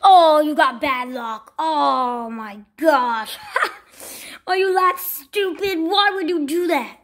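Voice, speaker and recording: A woman's voice: high-pitched, animated, takes it slowly, fairly bright tone, heavily acted. A woman playing a scornful, easily offended big cartoon gorilla in an improvised toy play skit.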